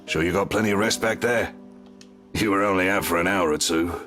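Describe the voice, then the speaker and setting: A very low-pitched older barkeeper speaks with gruff, teasing bluntness. His slangy, wry delivery belongs to a story-driven video game, with music playing underneath. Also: brisk, fairly bright tone. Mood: wry, probing. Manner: blunt, wry, slangy, calls listeners kiddo. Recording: voice over background music.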